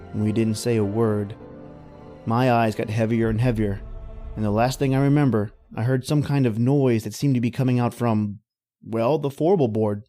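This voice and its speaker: An actor playing a middle-aged former oil-rig worker, telling an old-time radio horror tale in folksy, slangy, hesitant speech. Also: male voice, mid-range voice, dark tone, close to an everyday voice.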